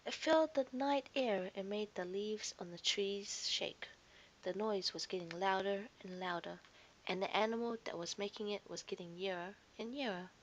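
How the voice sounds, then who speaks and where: A woman's voice: mid-range voice, normal speed, muffled recording, slight background noise. A woman narrating a scripted children's story expressively, as a storyteller to young listeners.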